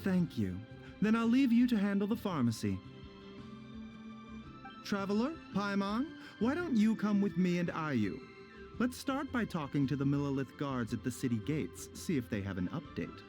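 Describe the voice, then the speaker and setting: An actor playing a calm, polite male doctor in a video game. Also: high voice, moderate pace, over music. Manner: composed and polite.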